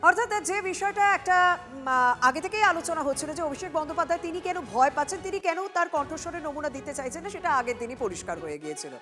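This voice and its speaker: Fast, high-pitched West Bengal woman news anchor. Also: sing-song, sharp tone. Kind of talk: live breaking news commentary. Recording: music bed under studio voice. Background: news music.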